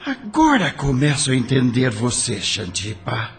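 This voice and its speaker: Ator fazendo um homem angustiado, fala arrastada. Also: male voice, mid-range voice, huge pitch swings, unhurried. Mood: bitter vengeful. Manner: dramatic, wounded, emotional. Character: anguished, gullible man turning bitter and vengeful. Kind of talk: audio drama acting.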